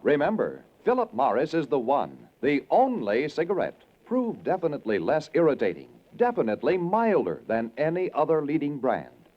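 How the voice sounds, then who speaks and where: A man reading a 1940s radio advertisement with emphatic, deliberate phrasing. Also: fairly high pitch, huge pitch swings. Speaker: commercial announcer. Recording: dull 1940s radio recording.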